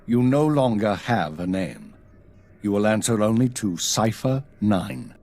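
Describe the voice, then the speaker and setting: A stern spymaster in a video game. His voice sits on the low side and has a husky grain. He gives orders in a clipped, cool and authoritative way. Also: lively intonation, a little slow, a little mellow. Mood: grave, commanding. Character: stern male spymaster, commanding and coolly measured. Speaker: intelligence chief.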